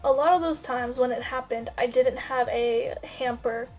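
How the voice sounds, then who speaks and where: High-voiced young woman, stuffy nasal. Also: fairly even intonation, normal speed, a little mellow, soft background music. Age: young adult. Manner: rambling, lots of "like" fillers. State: stuffy-nosed from a sinus infection. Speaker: vlogger. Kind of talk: casual life-update chat.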